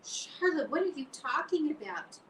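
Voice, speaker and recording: A woman's voice: medium pitch, animated, normal speed, a bit bright, voice pushed up, natural voice. A woman voicing an anxious, emotional young male pig who pleads and cries out, read as an audiobook. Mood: desperate. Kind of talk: audiobook reading. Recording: roomy, distant mic, faint hiss.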